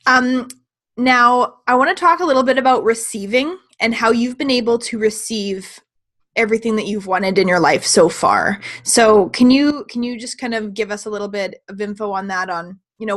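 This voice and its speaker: A woman hosting an interview in a casual conversational way, speaking softly and letting her phrases trail off. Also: mid-range voice, normal speed. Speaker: interview host, coach.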